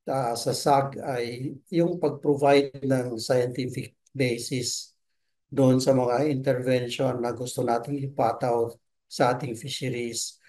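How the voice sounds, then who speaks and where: A man speaks as an expert guest in an interview, at an average pitch. His delivery is halting and hesitant as he explains, and he slips English terms into his sentences. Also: mellow. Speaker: expert guest, marine science professor.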